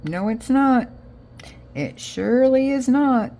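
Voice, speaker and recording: A woman's voice: high voice, animated, takes it slowly, dark tone. A woman with an American accent chatting casually as she narrates a craft project, rambling and thinking aloud, often trailing off. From Israel, raised in Connecticut, US. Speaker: craft vlogger.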